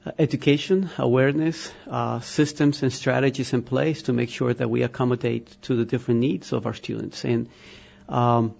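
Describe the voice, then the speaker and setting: A man's voice: mid-range voice, steady tone, moderate pace, creaky, darkish tone, lo-fi sound. A man from Colombia with a Spanish accent answering interview questions about schools, hesitant and full of fillers. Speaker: school diversity office head.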